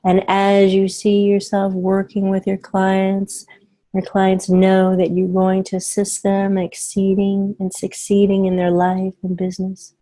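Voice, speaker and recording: A woman delivering scripted hypnosis affirmations as a hypnotherapist, soothing and deliberate, with emphatic repetition. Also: medium pitch, completely flat delivery, dark tone, rough recording.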